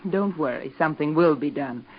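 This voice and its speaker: An actress in an old radio soap playing an intense, scheming young fiancée who speaks with cool, insinuating determination. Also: female voice, low voice, brisk, breathy edge. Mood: intense, determined. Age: young adult. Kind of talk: 1940s radio soap acting. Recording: dull old radio drama recording.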